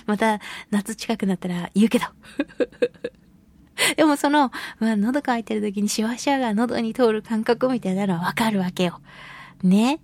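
A woman hosting a casual solo radio talk and reading listener mail, chatty, giggly and expressive, with a slightly breathy voice. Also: a little high, measured pace, long pauses. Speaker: radio show host and voice actress.

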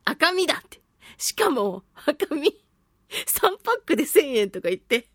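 A woman's voice: high-pitched, normal speed, no room sound, studio quality. A woman hosting a talk show, telling a personal anecdote in a casual, playful and chatty way. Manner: animated, chatty storyteller with playful self-mockery. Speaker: host of a talk radio show, voice actress.

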